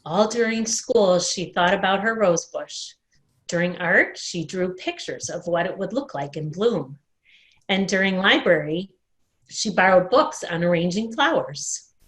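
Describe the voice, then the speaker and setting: A woman telling a children's story in an expressive read-aloud style, recorded at home in a dining room. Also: on the low side, measured pace, low-quality recording. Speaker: storyteller at a worship service.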